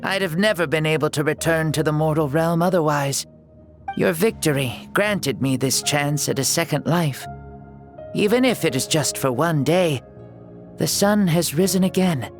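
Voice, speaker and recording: Noble ancient warrior leader, formal and measured. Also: male voice, a little high. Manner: formal, measured, reverent oratory. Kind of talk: dramatic video game voice acting. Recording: music behind the voice.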